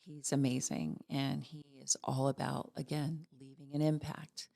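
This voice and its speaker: A woman's voice: very deep voice, little pitch movement, measured pace, vocal fry, mellow. A middle-aged woman from Virginia, a financial executive speaking as a guest in a casual interview, upbeat and heartfelt.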